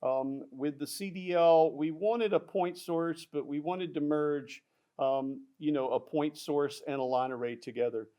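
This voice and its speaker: A man explaining loudspeaker designs in a technical product discussion, halting with fillers and restarts. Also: a little high, smooth. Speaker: audio product specialist.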